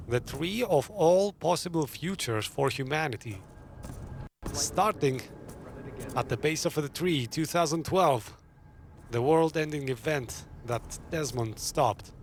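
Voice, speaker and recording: A man narrating a game story recap, explaining things directly to the viewer. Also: medium pitch. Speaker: gaming channel narrator. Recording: clear background noise.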